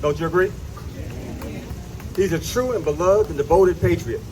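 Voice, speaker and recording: A man's voice: a little high, normal speed, a little mellow, low-quality recording. A man giving an informal talk to a live audience as presenter, emphatic, repeating phrases and asking listeners questions. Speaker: presenter at a history event. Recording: live event with faint noise.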